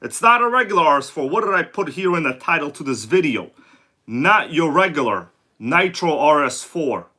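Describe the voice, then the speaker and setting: Sick congested guy hosting a stream, bright kinda high voice, slangy and chatty. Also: big pitch swings, moderate pace. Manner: chatty, greets viewers by name, slangy. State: sore throat and congested. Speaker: livestream host. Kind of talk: casual live-stream chat.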